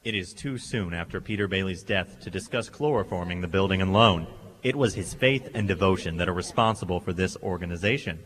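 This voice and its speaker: A man playing a courteous board chairman in a radio play, speaking in a measured, formal way. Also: deep voice, fairly bright tone. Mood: firm. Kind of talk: staged old-time radio play acting. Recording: reverberant stage hall recording.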